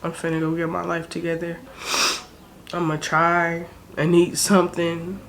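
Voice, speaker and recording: A woman's voice: on the low side, monotone, unhurried, gravelly. A woman in her twenties from South Jersey with a South Jersey accent, tearful and tired, venting frankly and with plenty of swearing about her struggles in a vlog. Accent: American, South Jersey. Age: 20s. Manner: frank, profane, weary. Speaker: vlogger. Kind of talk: emotional vent to camera.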